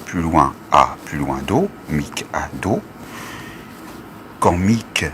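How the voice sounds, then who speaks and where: Slow husky man telling puns. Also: very deep voice, very animated, background noise. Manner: slow, punning delivery with laughs at his own jokes. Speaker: comedian telling pun jokes. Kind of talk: improvised wordplay monologue.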